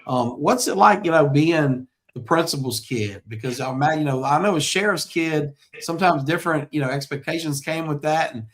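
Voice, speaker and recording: A middle-aged man with a slightly high voice hosts a local interview show. He talks conversationally and is effusive and affirming toward his guest. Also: brisk, hardly any pauses, fairly bright tone. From small-town Louisiana, United States.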